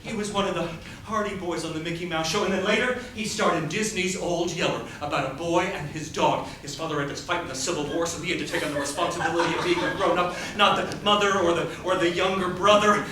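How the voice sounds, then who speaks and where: A man's voice: on the high side, fast, a crowd in the background, lightly acted. An actor playing an agitated, nostalgic middle-aged man in a theatrical stage monologue. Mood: fond reminiscing. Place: theatre stage before an audience. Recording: roomy, distant stage recording, audience noise.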